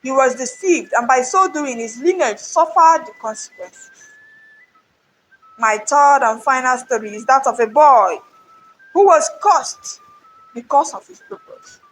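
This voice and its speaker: A woman's voice: sits high, animated, measured pace, fairly bright tone, faint music behind, full character voice, rough recording. A woman voicing a mighty, defiant male folktale hero who speaks solemnly and with authority. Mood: solemn, authoritative.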